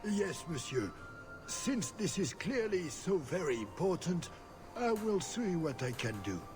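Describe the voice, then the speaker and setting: Lilting, courteous chateau guard. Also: male voice, fairly high pitch, very animated, a little slow, music in the background. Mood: obliging. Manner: formal, courteous. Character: deferential male chateau guard, polite and obliging. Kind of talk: video game voice acting.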